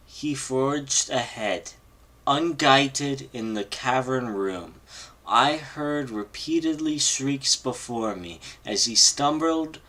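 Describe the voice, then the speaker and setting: A man reading a horror story aloud informally on a live stream, halting and stumbling over long words. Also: mid-range voice, pushed and bright. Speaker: amateur reader on a live read-along stream.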